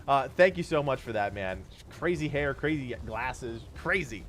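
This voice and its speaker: A thirty-year-old man whose voice is going hoarse and stuffy, streaming live and thanking his viewers for donations in a grateful, rambling way. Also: medium pitch, expressive, moderate pace, a bit bright. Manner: grateful, rambling, laughs often.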